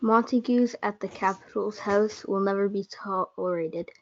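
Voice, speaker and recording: A woman's voice: medium pitch, moderate pace, close to an everyday voice. A female student performer playing a lovesick, gloomy young man in a reader's theatre play. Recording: dull, muffled lo-fi audio.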